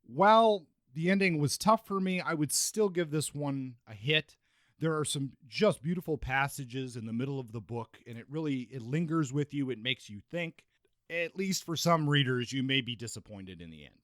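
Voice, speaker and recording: A man's voice: mid-range voice, animated, normal speed, fairly bright tone, no echo. Man hosting a book podcast, dry sound. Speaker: podcast co-host. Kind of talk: book review and discussion podcast.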